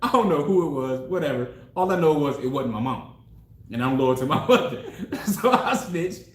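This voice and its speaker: A man in his forties, a relationship coach, telling stories in a casual, slangy, animated way with frequent laughs. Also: a little high, normal speed. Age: 40s. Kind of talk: casual discussion. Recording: echoey room.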